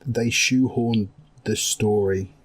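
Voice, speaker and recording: A man's voice: on the low side, fairly even intonation, takes it slowly, husky. A man co-hosting a casual gaming chat in a dry, matter-of-fact way. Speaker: podcast co-host. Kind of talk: casual chat about video games.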